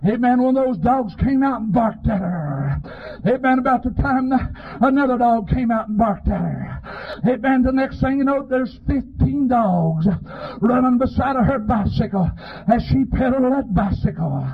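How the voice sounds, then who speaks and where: Male revival preacher, very high strained pitch, husky, impassioned delivery, dull lo-fi tape. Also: lively intonation, moderate pace. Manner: impassioned, pleading, often shouting, punctuates phrases with "amen". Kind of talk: fervent revival sermon. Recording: dull, lo-fi sermon recording.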